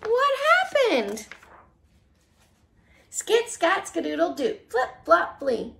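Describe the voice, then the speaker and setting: A woman preschool teacher reads a picture book aloud in a very high voice. Her delivery is slow and sing-song, with big swoops of pitch. She exaggerates the storytelling for young children. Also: huge pitch swings, gravelly, a bit bright. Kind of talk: animated children's read-aloud. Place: small living room, seated on a couch.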